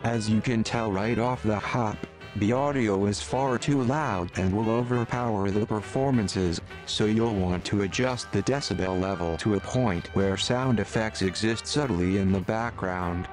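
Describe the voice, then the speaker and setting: A man reading a scripted tutorial voice-over in a measured, instructional manner. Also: fairly low pitch, music in the background, rough recording. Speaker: tutorial narrator.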